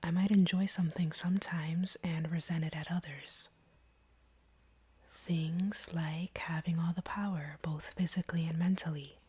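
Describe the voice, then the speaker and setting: A woman plays a female vampire with feelings for the hunter she faces. Her voice is extremely breathy and hushed, sitting a little low. She speaks in a deliberate, earnest whisper, as in an intimate audio roleplay, conflicted beneath her composure.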